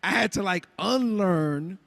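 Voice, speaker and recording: A man on a relationship panel, telling stories in an animated way with a lot of "like". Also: high-pitched, a little slow, vocal fry. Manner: animated, filler-heavy storytelling with lots of "like". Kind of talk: casual storytelling.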